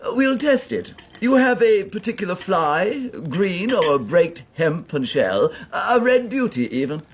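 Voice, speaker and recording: An actor playing a haughty, foppish gentleman with a condescending drawl in a radio drama. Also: high-pitched, sing-song, a little slow, full character voice.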